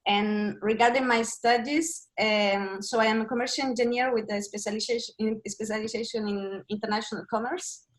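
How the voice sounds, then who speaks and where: A woman from Chile and Brazil, a business consultant and interview guest, speaking as an in a halting way as she searches for words over a video call. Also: a little high, steady tone, poor audio.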